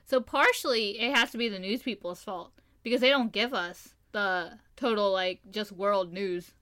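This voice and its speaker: A woman's voice: medium pitch, normal speed, bright, forceful tone. A woman co-hosting a podcast, chatting casually in a playful, giggly, rambling way. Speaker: podcast co-host. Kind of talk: casual chat. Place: Japan.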